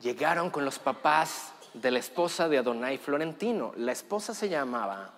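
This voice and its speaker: A man narrating an improvised comedy story in an animated way, laughing and picking up suggestions from others. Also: medium pitch, normal speed, in a large hall, a little hiss or hum.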